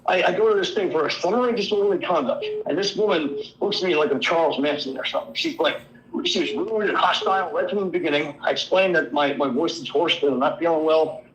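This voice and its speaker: A man calling in to a talk show, hoarse from illness, rambling through an indignant account of his run-in with the law. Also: fairly high pitch, fast, slight background noise, poor audio. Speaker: caller. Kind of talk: call-in show chat.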